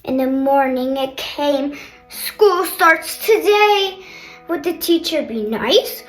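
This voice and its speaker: A young girl reading a picture book aloud with chatty asides, in an expressive sing-song manner. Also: high voice, slow pace. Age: child, older sister of a kindergartner. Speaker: storybook reader. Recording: roomy echo, faint background music.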